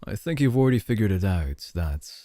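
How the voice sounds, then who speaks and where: Man acting a deep, dark-voiced suave CEO. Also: very animated, no echo, studio quality. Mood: teasing, controlling. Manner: slow, teasing, deliberate, with low chuckles. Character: suave dominant male CEO, possessive and flirtatious. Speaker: ASMR voice actor. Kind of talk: intimate ASMR roleplay.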